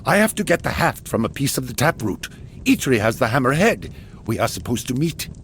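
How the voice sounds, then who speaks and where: A man playing an excitable Norse warrior-smith with a gravelly voice in a mythological video game scene. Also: medium pitch, a little fast, slight background noise. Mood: earnest. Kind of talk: dramatic video game cutscene acting.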